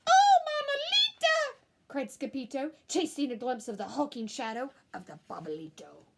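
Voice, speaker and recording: A woman teacher reading a picture book aloud to young children in a theatrical way, with hushed asides. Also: a little high, animated, a little fast, vocal fry, background noise. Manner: theatrical, dropping into whispered asides to young listeners.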